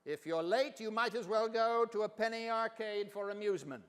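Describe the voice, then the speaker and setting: Man acting a pompous older professor, high-pitched, hall reverb. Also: moderate pace, a bit bright, clean tone. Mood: stern, lofty. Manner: grand, declamatory, pompous. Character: stern, pompous professor of about sixty, theatrical.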